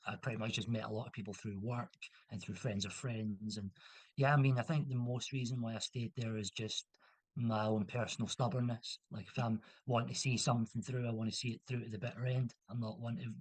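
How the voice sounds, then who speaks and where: A man's voice: mid-range voice, fairly even intonation, fairly quick, breathy edge, no room sound, rough recording. A young man from Scotland, a guest in a casual interview, talking in a rambling way with many restarts and fillers.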